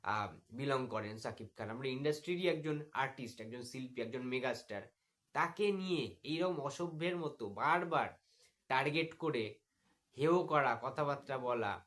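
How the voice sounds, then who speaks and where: A man's voice: on the high side, fairly bright tone. Indignant Bengali man ranting. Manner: indignant, mocking, repetitive rhetorical questions. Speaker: YouTube commentator making a reply video. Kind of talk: opinionated rant addressed to the camera.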